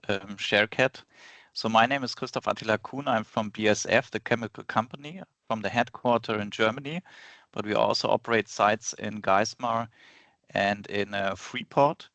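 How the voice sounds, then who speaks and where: A man introducing himself and his work on a video call, speaking in a measured, explanatory way. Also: on the low side, steady tone, creaky, pushed and bright, no room sound. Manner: measured, explanatory, with list-like phrasing. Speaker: product manager presenting. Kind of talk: business meeting introduction.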